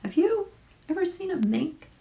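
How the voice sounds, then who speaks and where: A woman reading a children's story aloud in an expressive way, with chatty asides to young listeners. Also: very high voice, moderate pace, vocal fry. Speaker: volunteer storybook reader.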